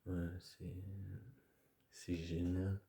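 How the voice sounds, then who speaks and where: Hoarse, stuffy-nosed man, very low. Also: level tone, extremely slow, warm tone, slight background noise. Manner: hesitant, rambling, many fillers and restarts. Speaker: video creator. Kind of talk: casual chat to camera.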